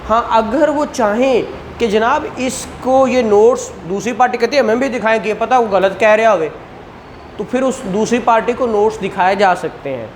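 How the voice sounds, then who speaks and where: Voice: medium pitch, a little hiss or hum. A law lecturer with a Pakistani Punjabi accent, explaining informally to a class and often checking in with questions. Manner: conversational, explanatory, repeated check-ins and rhetorical questions.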